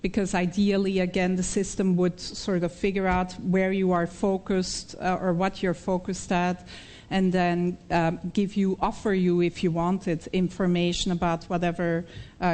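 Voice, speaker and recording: A woman's voice: lowish voice, darkish tone, a little hiss or hum. A middle-aged woman with a Belgian accent, a researcher answering audience questions after a talk, thoughtful and hesitant with many fillers and frequent little laughs. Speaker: invited speaker, researcher.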